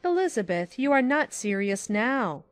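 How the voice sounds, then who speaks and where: A woman playing a sensible, kindly aunt in an audiobook drama, giving grave advice in a measured, admonishing manner. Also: fairly high pitch, big pitch swings, no room sound, soft background music. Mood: grave, gentle reproof.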